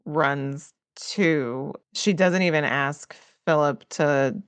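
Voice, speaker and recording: A woman playing a tabletop roleplaying game, casually improvising and thinking aloud in a halting way. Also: sits low, clear, resonant tone, dry recording.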